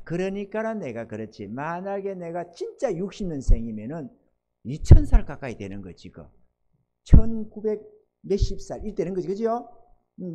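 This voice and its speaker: Older male pastor, lively emphatic preaching. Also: fairly high pitch. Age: 60s. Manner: swings from loud emphatic exhortation to soft quiet asides; asks the congregation for amens. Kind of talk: sermon.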